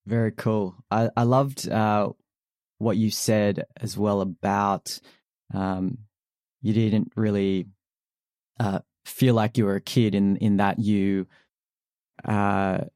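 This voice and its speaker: A man's voice: lowish voice, level tone, slow, pauses often, darkish tone, no room sound, studio quality. A man interviewing a guest, asking friendly questions with many fillers and restarts. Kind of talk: casual interview.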